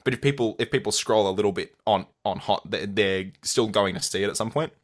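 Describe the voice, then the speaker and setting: A young adult man with an Australian accent, answering interview questions as an audio content creator in a measured, thoughtful way with frequent restarts. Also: on the low side.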